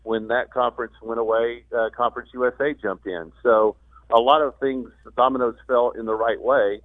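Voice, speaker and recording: A man's middle-pitched voice comes through a dry, phone-like connection. He is a sportscaster being interviewed on sports talk radio, explaining things with halts and repeated words. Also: fairly even intonation, normal speed, dry recording. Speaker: radio play-by-play announcer as guest. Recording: thin phone-like line.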